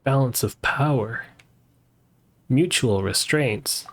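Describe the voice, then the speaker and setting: A man's voice: mid-range voice, slow, a bit bright. A man streaming a game, giving casual live commentary in a laid-back way and reading text from the screen. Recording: game music behind the voice.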